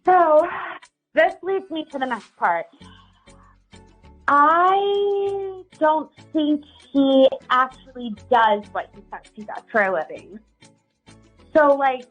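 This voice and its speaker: A woman plays a suspicious, bewildered girlfriend phoning a radio show. Her voice is high and she rambles in casual, filler-heavy chat over a music bed. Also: poor audio.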